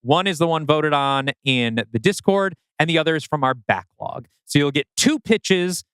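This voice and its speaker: Lively, smooth-voiced male podcast host. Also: on the high side, normal speed, no echo. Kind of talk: casual explaining to listeners.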